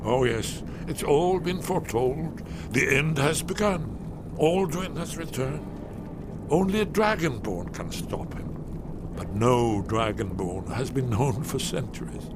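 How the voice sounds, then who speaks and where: Elderly male prophet of doom played by a man for a fantasy game; slow, dramatic, mid-pitched, muttering lore-keeper over loud noise. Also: expressive, close to an everyday voice. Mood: doom-laden despair. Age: older. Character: old doom-prophesying lore scholar, paranoid hermit. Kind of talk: fantasy video game acting.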